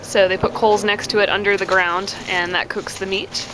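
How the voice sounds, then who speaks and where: A woman's voice: medium pitch, level tone, normal speed. Female vlogger, curious plain narration, noisy on-location recording. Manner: simple, curious commentary. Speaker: travel vlogger.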